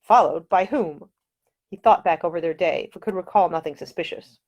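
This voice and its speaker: A woman's voice: fairly low pitch, moderate pace, a little mellow, dull audio. A woman reading her own historical novel aloud as scripted audiobook narration.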